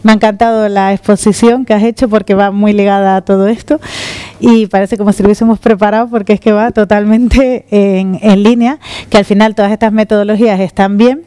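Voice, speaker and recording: Middle-aged woman from the Canaries, conference speaker, lively and gesturing, warm smooth voice, dry sound. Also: mid-range voice, a little fast, dry recording. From Canary Islands. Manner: lively, gesturing while walking on stage. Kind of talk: conference talk. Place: conference room with audience. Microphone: handheld microphone.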